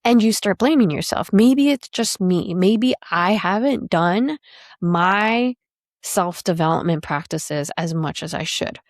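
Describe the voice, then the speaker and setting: A woman in her thirties hosts her own podcast and coaches on careers, talking steadily and reflectively. Her voice sits a little low for a woman. The recording is dry and close, with no room sound. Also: lively intonation, a little slow, dry recording. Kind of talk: solo podcast monologue.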